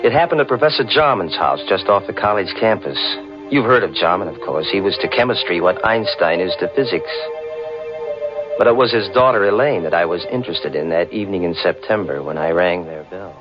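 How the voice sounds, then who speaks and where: An actor playing a furtively curious young man, telling his eerie tale in measured, suspenseful 1940s radio mystery narration. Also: sits low, lively intonation. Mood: grave, suspenseful. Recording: muffled old radio broadcast, music behind.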